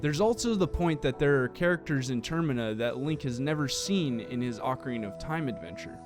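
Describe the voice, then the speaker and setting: Man narrating a scripted video essay, lively intonation. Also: mid-range voice, a little fast, music in the background.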